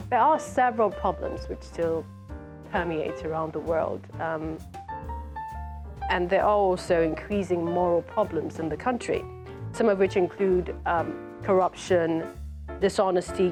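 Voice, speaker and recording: A woman, an author reading from her book and commenting on it in an explanatory, measured way. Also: medium pitch. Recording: music playing behind the voice. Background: music.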